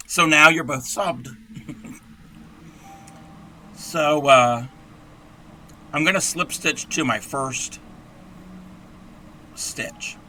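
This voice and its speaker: A man with a hoarse, scratchy voice who talks through a crochet tutorial in a rambling, chatty way and clears his throat often. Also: on the high side, very slow, pauses often, bright, forceful tone. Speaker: crochet livestream host.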